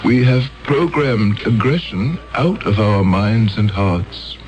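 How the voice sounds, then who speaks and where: A man's voice: lowish voice, animated, darkish tone, soft background music. An actor playing a grave male elder of a peaceful alien world in a 1970s radio drama. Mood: solemn. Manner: solemn, measured.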